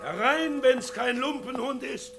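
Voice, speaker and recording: An actor playing a theatrical, boisterous small-town sheriff of the Wild West in a German radio crime drama, with a gravelly, husky voice. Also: male voice, extremely high pitch, over loud music, exaggerated voice. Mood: boisterous, jovial. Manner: theatrical, exclamatory, bantering then halting.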